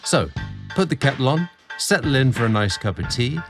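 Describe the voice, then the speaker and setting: A British man narrating a scripted story, theatrical and deliberate in his phrasing, drawing out words as a podcast host. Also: mid-range voice, expressive, music in the background.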